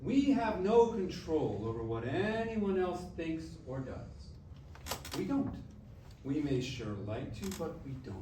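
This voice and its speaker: Echoey, animated, high-voiced grandfather played by an actor. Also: natural voice. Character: playful, loud, loving grandfather back from death. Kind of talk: staged musical reading, acted dialogue. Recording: distant, echoey room with faint noise.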